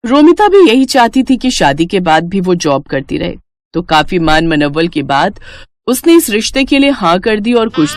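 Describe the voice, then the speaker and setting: A woman's voice: fairly low pitch, slight background noise. A woman with an Indian accent narrating a scripted moral story as a storyteller.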